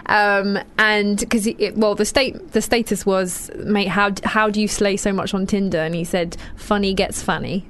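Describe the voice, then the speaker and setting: A woman's voice: medium pitch, steady tone, normal speed, hardly any pauses. A woman in her twenties with a British accent, hosting a casual radio show from a studio on a headset mic, chatty and giggly. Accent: British English. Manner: giggly, chatty and self-deprecating.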